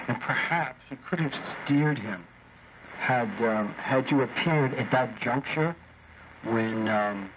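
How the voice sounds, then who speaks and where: A man in a casual, teasing chat over shortwave ham radio, speaking a little haltingly like an operator among friends. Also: medium pitch. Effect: shortwave radio transmission. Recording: muffled, noisy shortwave radio reception. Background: radio static.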